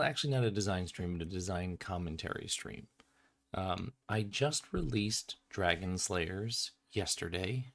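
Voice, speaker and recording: A man with a fairly low voice hosts an informal design commentary stream. He explains things in a chatty, unscripted way, like a creator walking through his own work. He sounds slightly sniffly, as if getting over a cold.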